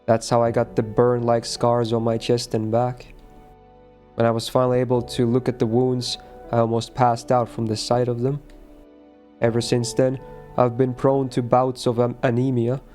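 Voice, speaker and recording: A man reading the lines of a quiet, withdrawn teenage schoolboy from a visual novel in a calm, matter-of-fact way. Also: medium pitch, normal speed, faint music behind, close to an everyday voice. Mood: subdued.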